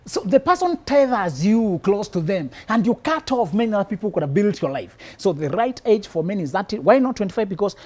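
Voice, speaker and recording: A man's voice: high-pitched, sing-song, fairly quick, few pauses, darkish tone. A man from Kenya, a psychologist guest on a live talk show, giving preachy relationship advice with teasing punchlines and frequent laughter.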